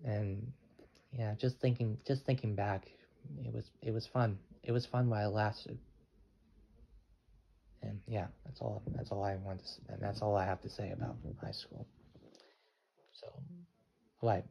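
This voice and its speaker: An eighteen-year-old man talking in a rambling vlog, halting and restarting his sentences often. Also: fairly low pitch, steady tone, creaky, dark tone. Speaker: channel owner. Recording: dull lo-fi with faint noise.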